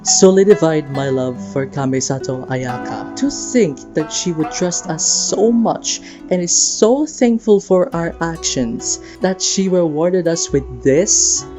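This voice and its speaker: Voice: mid-range voice, expressive, takes it slowly, warm tone. A young adult narrating a personal, sentimental video essay about a game in an earnest way. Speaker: video creator and host. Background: guitar music.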